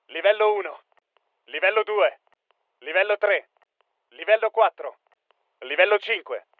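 High-pitched, urgent soldier on radio. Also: male voice, expressive, unhurried, dry recording, phone-quality sound. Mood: focused, businesslike. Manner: clipped, commanding, urgent. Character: tough male soldier barking battlefield callouts. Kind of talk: military shooter game voice lines.

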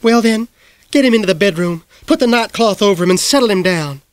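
A man's voice: high voice, lively intonation, brisk, dry recording, pitched above the performer's own voice. A man voicing a prim older Southern lady.

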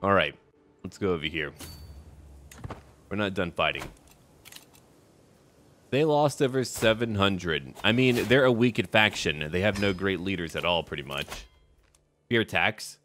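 A man's voice: deep voice, animated, unhurried, pauses often, fairly bright tone, slight background noise. A man hosting a roleplay strategy game video, musing aloud in short, clipped remarks. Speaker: gaming video host.

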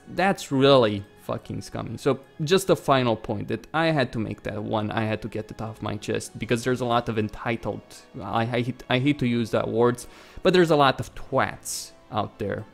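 A man's voice: medium pitch, normal speed, gravelly, soft background music. A man with a Romanian accent, a gaming YouTuber talking bluntly and swearing freely in casual commentary over gameplay.

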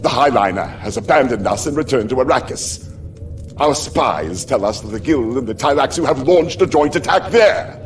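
A man's voice: mid-range voice, lively intonation, normal speed, a little hiss or hum, close to an everyday voice. An actor playing a middle-aged, loyal military aide in a game, dramatic and deferential toward his lord. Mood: grave, alarmed. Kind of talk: video game cutscene acting.

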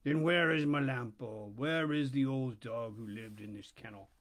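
A man voicing a scheming male polecat, speaking low and wheedling in a read-aloud storybook. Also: normal speed, fairly bright tone, natural voice.

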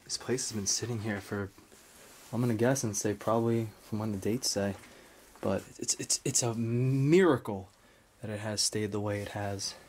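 A man's voice: on the low side, steady tone, moderate pace, darkish tone, a little hiss or hum. An American man giving casual, unscripted vlog commentary, musing aloud as he explores indoors. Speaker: urban explorer host. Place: indoors, rooms of an old house.

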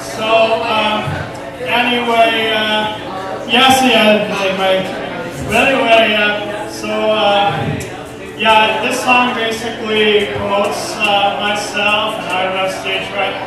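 A man's voice: high-pitched, level tone, crowd noise. A young man from California, a singer-songwriter, rambling with many fillers between songs at an open mic. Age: young adult. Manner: rambling, halting talk full of fillers. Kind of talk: open mic singing and song intros. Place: dim cafe with stage. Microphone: far camera. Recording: echoey cafe from far off.

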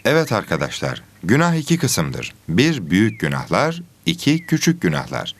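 Low, lively man acting as cartoon teacher. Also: normal speed, exaggerated voice. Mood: instructive. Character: patient male religion teacher of animal pupils. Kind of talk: scripted lesson in educational cartoon.

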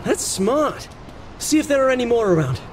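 A man's voice: very high-pitched, normal speed, slight background noise. A man playing an eager, earnest, nerdy tech sidekick in a video game. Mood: explaining. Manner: earnest, excitable.

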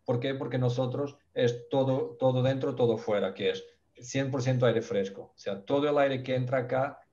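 A man's voice: medium pitch, fairly even intonation, moderate pace, warm tone, highs cut off. A man from Portugal with a Portuguese accent, presenting a technical webinar in a language not his own, hesitant and searching for words. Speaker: ventilation expert presenter. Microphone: video call.

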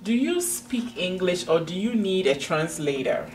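Woman playing snippy ghanaian office clerk lady in a skit, kinda low voice, stern n dismissive, far from mic. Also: big pitch swings, measured pace, roomy sound. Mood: impatient, scornful. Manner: stern, clipped. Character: curt, impatient, dismissive female government office clerk. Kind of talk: amateur comedy sketch acting. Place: indoor office desk. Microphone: far camera. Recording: distant camera mic, faint room noise.

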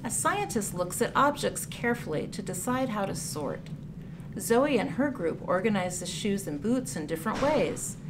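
A woman reading a picture book aloud for children, with deliberate, clearly phrased storytelling delivery. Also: mid-range voice, big pitch swings. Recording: clear background noise, room ambience.

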